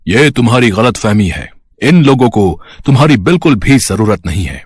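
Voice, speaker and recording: Casting: male actor as proud, grand sun deity for animated fable, deep, very animated intonation. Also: moderate pace, husky, dry recording, studio quality. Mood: haughty. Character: proud sun god, male, haughty elder brother. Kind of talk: animated moral story acting.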